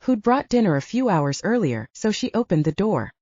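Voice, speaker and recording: Expressive mellow woman narrating fiction. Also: medium pitch, moderate pace, no room sound, highs cut off. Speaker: audiobook narrator. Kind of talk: scripted narration of a romantic thriller novel.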